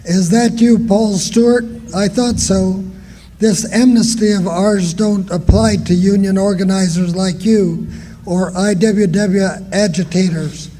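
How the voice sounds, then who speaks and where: High-pitched, domineering sheriff played by a man. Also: steady tone, a little slow, warm tone, a little hiss or hum, rough recording. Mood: stern, coercive. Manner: barking orders, haranguing. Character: domineering, threatening small-town sheriff of 1917. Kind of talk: radio drama acting.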